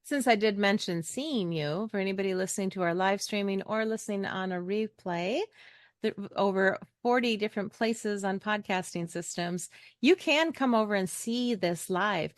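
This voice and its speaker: A woman's voice: medium pitch, moderate pace, dry recording, clean studio sound. A middle-aged American woman hosting a podcast in a casual, chatty, joking way. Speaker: podcast host.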